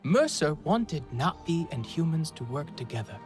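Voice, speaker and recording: A man's voice: on the high side, sing-song, normal speed, soft background music. An actor playing a young, sensitive Na'vi man in a video game, earnest and a little defensive. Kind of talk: video game dialogue acting.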